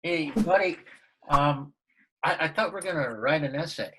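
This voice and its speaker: A man's voice: a little high, moderate pace, a bit bright, breathy edge, rough recording. A man playing an amiable, clueless sitcom dad in a table read over a video call. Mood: affable, puzzled. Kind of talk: comedy script table read. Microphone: computer on video call.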